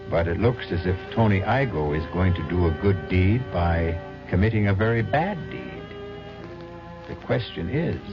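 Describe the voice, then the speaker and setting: A man hosting an old radio mystery show, giving measured, ominous scripted narration. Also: low-pitched. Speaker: radio drama host. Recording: dull old radio broadcast. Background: music.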